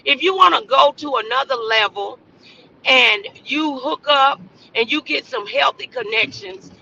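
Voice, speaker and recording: Slow-paced man, gravelly edge, preacher-like motivational host, exhorting, repetitive emphasis, rhetorical questions, slightly muffled lo-fi sound. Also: extremely high pitch, pushed and bright, slight background noise.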